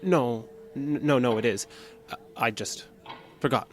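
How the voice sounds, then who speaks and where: An actor playing a controlled, secretly murderous male therapy patient in an audio drama, speaking in a deliberate, halting, guarded way. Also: medium pitch, a little hiss or hum, lightly acted. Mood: defensive. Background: music.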